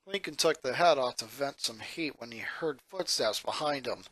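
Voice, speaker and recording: A man's voice: medium pitch, animated, normal speed, fairly bright tone, no room sound. A man reading a fan fiction story aloud as scripted narration.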